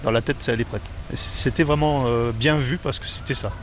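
Middle-aged Parisian man, interviewee, hesitant and relaxed, faint traffic behind. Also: mid-range voice, fast. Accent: Parisian French. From Paris, France. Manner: hesitant, many fillers and restarts.

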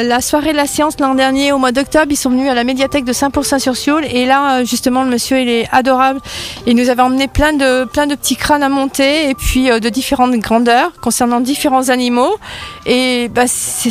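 A woman in her fifties, interviewed on local radio, talking eagerly and rambling with many fillers. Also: sits high. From France. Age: 50s. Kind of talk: casual interview.

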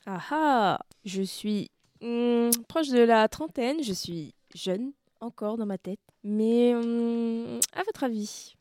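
A woman's voice: fairly high pitch, takes it slowly, a little mellow, dry recording. A woman in her late twenties, an activity leader at a youth center, answering interview questions playfully with many hesitations. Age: 20s. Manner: hesitant, playful, lots of hmms. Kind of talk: casual radio interview. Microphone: close studio mic.

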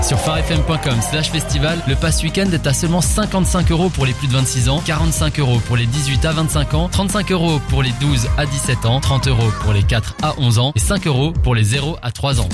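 Male voice-over for a radio promo, smooth, music under. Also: medium pitch. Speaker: announcer. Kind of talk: radio advertisement read.

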